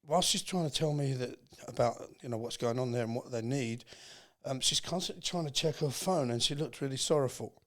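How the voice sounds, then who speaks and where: A man with an English accent and a mid-pitched voice is a guest in an interview. He tells his story earnestly and reflectively, feeling his way through it with halting pauses. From England. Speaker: podcast guest.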